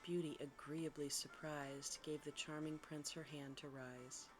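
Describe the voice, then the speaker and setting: Hushed, low-voiced woman reading a bedtime story. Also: fairly even intonation, unhurried, music in the background, low-quality recording. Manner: hushed, soft, often slipping into whisper.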